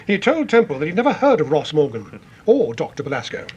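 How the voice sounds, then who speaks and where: Radio drama role: impatient police chief, commanding, quick and animated, slightly raised pitch. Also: male voice, dull audio, a little hiss or hum. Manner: impatient, authoritative. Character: impatient, authoritative British senior police chief. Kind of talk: radio mystery drama acting.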